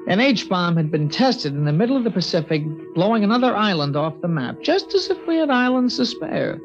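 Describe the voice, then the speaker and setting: A grave, thoughtful teenage boy telling his own story, in a 1950s radio drama. His voice is high but gravelly. The old broadcast sounds dull and muffled, with faint music underneath. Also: very animated, brisk. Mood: somber. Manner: earnest, reflective, somber. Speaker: actor.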